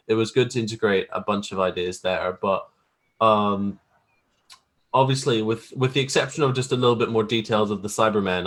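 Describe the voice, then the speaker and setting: Low-voiced man, hesitant and self-deprecating. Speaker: guest, graphic artist who makes trailers. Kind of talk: casual interview chat.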